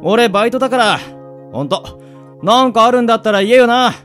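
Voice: medium pitch, expressive, fairly quick, fairly bright tone, close to an everyday voice. A performer playing a caring young male college friend in a radio drama, with blunt, casual boyish speech. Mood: concerned, friendly. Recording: music bed under the voice.

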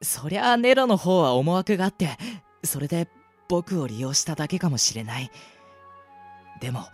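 A man acting a loyal, gentle young adventurer boy in an amateur radio drama, speaking earnestly and politely. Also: a little high, animated, moderate pace, soft background music. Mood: sincere. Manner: soft-hearted, earnest, polite.

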